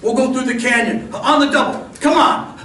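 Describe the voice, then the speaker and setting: Man as ranger captain, commanding, very high-pitched, radio western, off-mic. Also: fairly quick. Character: commanding male Texas Ranger captain on horseback. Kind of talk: old-time radio western acting. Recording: distant echoey live stage recording, faint noise.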